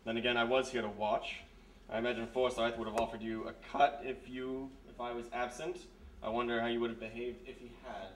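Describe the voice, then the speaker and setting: A man's voice: medium pitch, little pitch movement, fairly quick, fairly bright tone, a little hiss or hum, natural voice. A man playing a dry, courtly, begrudging army Major in a live old-time radio mystery. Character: formal, suave, begrudging male army Major. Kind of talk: live 1930s-style radio mystery acting. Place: live stage performance in historic house. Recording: echoey live stage, slightly distant.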